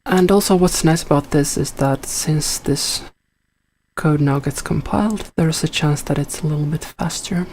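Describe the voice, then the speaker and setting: A man's voice: a little high, normal speed, darkish tone, a little hiss or hum. A man from Finland with a Finland-Swedish accent, whose first language is Finnish, casually thinking aloud while coding and answering a chat question, with many fillers. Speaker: live coder answering chat.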